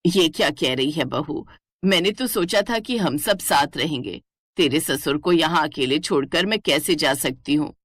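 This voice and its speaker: Woman voicing low-pitched older village mother-in-law. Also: lively intonation, normal speed, fairly bright tone, no echo. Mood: hurt, shocked. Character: older village mother-in-law, patient, hurt, proudly firm.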